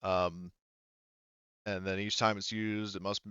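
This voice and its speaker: A man's voice: deep voice, level tone, takes it slowly, pushed and bright, smooth, no room sound, highs cut off. A man acting as game master, casually explaining tabletop game rules and often stopping himself to double-check. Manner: hesitant, self-interrupting, often double-checking.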